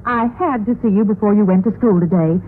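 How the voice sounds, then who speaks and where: An actress playing an earnest, scheming middle-aged housewife in a chatty, confiding manner in a vintage radio sitcom. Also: female voice, medium pitch, fast, lightly acted. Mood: confiding, eager. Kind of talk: 1950s radio sitcom acting. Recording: tinny, noisy old-time radio recording.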